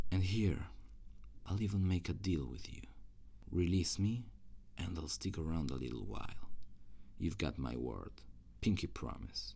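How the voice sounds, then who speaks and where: A man voicing a jaded, cruel demon guardian in an ASMR roleplay, with a dry, intimate, sardonic manner and a gravelly voice. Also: a little mellow, full character voice. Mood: bargaining. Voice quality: very deep, gravelly.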